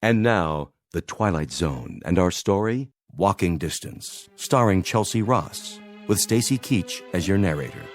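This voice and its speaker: Deep voiced guy doing radio drama announcer read, loud music all over it. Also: animated, a little slow, hardly any pauses.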